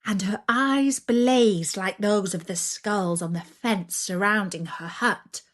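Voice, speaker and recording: A woman narrating a scary children's story in a deliberate, dramatic, expressive way. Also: medium pitch.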